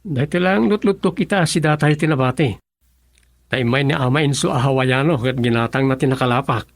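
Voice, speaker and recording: A man's voice: mid-range voice, brisk, dry recording, close to an everyday voice. A man with an Ilocano accent playing a dutiful young son who fishes, in a radio drama. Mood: cheerful, respectful.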